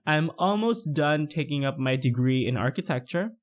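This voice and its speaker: Chatty young Filipino man, slightly high. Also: animated, normal speed. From Philippines. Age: 20s. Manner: chatty, rambling, many fillers. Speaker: YouTuber narrating a build. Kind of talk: casual voice-over commentary.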